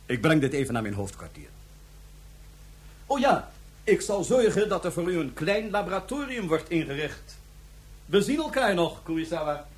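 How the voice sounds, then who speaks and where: A cold man of power in a science fiction radio play. His husky voice lectures with formal condescension and sarcasm, the pitch moving expressively as he talks. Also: very animated, normal speed, pauses often. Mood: cool condescension. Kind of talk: Dutch science fiction radio drama acting.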